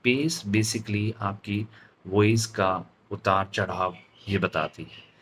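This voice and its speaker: An Indian man giving an instructional lesson as a public speaking trainer, deliberate and stressing his key words. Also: lowish voice, a bit bright, a little hiss or hum. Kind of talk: instructional lesson mixing Hindi and English.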